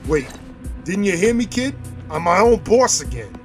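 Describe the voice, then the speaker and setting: An actor playing a boastful, belligerent drunken gangster father with rough streetwise talk in a comic-book audio drama. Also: high voice, big pitch swings, normal speed, soft background music. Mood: excited, insistent.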